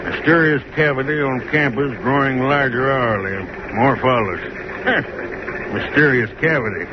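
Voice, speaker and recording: An actor playing a cynical, brusque news chief who wisecracks and mocks, in an old-time radio drama on a muffled, noisy recording. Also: male voice, mid-range voice, unhurried, close to an everyday voice. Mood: mocking, dismissive. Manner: brusque, mocking.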